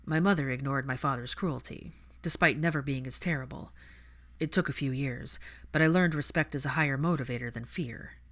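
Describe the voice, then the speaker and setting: A woman narrator voicing a patient, wry young aristocratic father in a measured, dry way for a fan fiction reading. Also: extremely low pitch, a bit bright, voice pushed down, heavily acted. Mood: reflective, measured.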